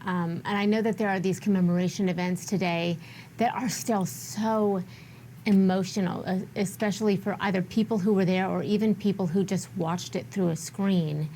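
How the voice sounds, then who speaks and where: A woman's voice: mid-range voice, fairly even intonation, normal speed, dark tone, a little hiss or hum, poor audio. A woman anchoring a broadcast news programme.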